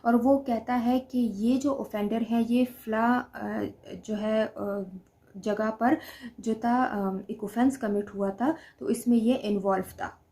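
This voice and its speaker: A woman's voice: fairly high pitch, steady tone, slow pace, mellow. A woman with a Pakistani accent giving an explanatory law lecture, going step by step and repeating each point. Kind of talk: explanatory lecture alternating Urdu explanation with slowly read English definitions.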